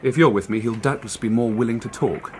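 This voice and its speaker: A man's voice: mid-range voice, a little mellow, slight background noise, close to an everyday voice. An actor playing a brilliant, cocky young male journalist and amateur detective in a radio drama, eager and teasing. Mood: teasing, persuasive. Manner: eager, brisk, self-assured, teasing.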